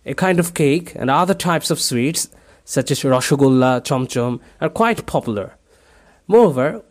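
A man from Bangladesh whose first language is Bengali, speaking English with a Bangladeshi accent as he reads a set passage aloud with careful, deliberate delivery. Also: a little high, darkish tone. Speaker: dialect archive speaker. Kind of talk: reading a set passage, then speaking about his culture.

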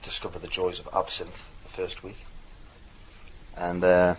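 A young man speaks with a mild Geordie accent in a very deep, low register. He tells his story in a loose, rambling way, and a faint ambient hum sits under his voice. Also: monotone, measured pace, poor audio. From North East England. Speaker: dialect archive speaker. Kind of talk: casual recorded anecdote.

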